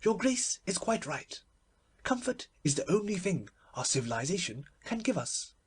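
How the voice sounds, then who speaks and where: A performer voicing a solemn, obsequious little palm-reading man, speaking in a deferential, precise, measured way in a storybook. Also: medium pitch, sing-song, breathy edge, pitched above the performer's own voice. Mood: solemn, courteous.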